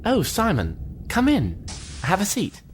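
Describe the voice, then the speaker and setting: A polite starship lieutenant with a lively, animated voice, played by a man. He speaks earnestly and measuredly in a fan audio drama, with faint noise underneath. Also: high-pitched, unhurried.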